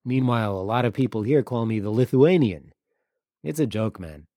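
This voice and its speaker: A man's voice: mid-range voice, expressive, moderate pace, warm tone, no room sound, clean studio sound, close to an everyday voice. A man voicing a weary, blunt Crimean local. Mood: weary frank. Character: weary cynical Crimean local man, world-wise and guarded. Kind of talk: audiobook character dialogue.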